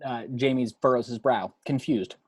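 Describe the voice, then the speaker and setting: A man's voice: mid-range voice, normal speed, husky, no room sound. A man reading screenplay action and scene headings as narrator for a table read at home. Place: at home, dry close recording.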